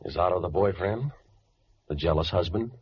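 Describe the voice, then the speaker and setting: A hard-boiled, cynical noir tough guy with a very low, husky voice. He is slangy, sardonic and used to giving orders. It sounds like an old, dull 1940s radio recording. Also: moderate pace. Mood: commanding, threatening. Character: cynical tough-guy drifter holding a gun, wisecracking.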